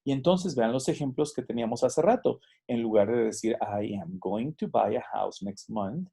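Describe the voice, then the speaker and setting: A man's voice: mid-range voice, gravelly, mellow, husky. A man giving a recorded lesson, explaining and demonstrating English pronunciation examples. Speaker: teacher. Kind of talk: recorded lecture.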